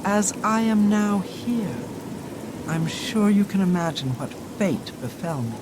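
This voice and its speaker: A woman voicing a proud fallen sorceress in a fantasy game, speaking in a measured, formal and solemn way. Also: medium pitch, lively intonation, noisy background, lightly acted. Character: proud fallen sorceress, a damned soul, faintly regretful. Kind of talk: fantasy video game character acting.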